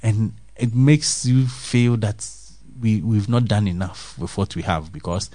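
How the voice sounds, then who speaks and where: A man's voice: fairly low pitch, a little slow, mellow, no room sound. A man reading a faith news story aloud, then musing on it in an earnest, reflective way with restarts and fillers.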